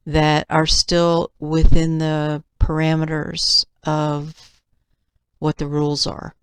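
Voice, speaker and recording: A woman giving a tarot reading in measured, deliberate phrases with pauses, her voice slightly nasal. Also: low voice, clear, resonant tone, no echo, studio quality. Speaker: tarot reader and channel host. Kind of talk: slow, deliberate tarot reading, part read aloud from cards.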